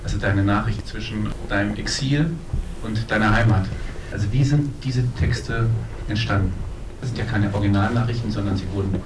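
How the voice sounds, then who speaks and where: A man's voice: fairly low pitch, moderate pace, recorded from a distance. A man moderating a live film talk, phrasing his questions piece by piece as he thinks aloud. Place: echoey event room. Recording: echoey room from afar, noisy.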